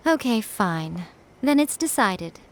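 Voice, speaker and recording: Sly fox priestess for a fantasy game: mid-pitched, elegant, smug, teasing. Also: female voice, big pitch swings, slow pace, very noisy. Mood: sly, haughty. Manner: languid, teasing, haughty. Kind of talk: anime video game voice acting.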